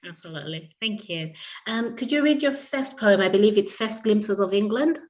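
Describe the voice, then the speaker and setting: A woman hosts a festival event in an upbeat, effusive manner, warmly praising her guest. Her voice sounds a little distant from the mic. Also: mid-range voice, expressive, moderate pace. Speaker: event host. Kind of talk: stage hosting.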